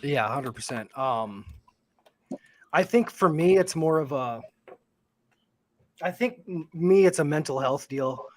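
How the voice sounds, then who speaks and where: A man in his forties co-hosting a casual livestream chat, speaking in a reflective, halting way with many fillers. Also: on the high side, lively intonation, darkish tone. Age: 40s. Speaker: livestream co-host.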